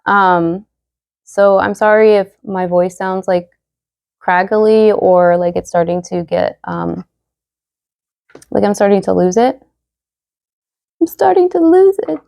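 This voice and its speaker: A young woman giving a casual tarot reading to viewers, chatty and full of fillers, slightly hoarse as she loses her voice. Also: mid-range voice, lively intonation, slow, pauses often, a little mellow, no echo. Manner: chatty, many fillers, reads card messages aloud. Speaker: tarot reader.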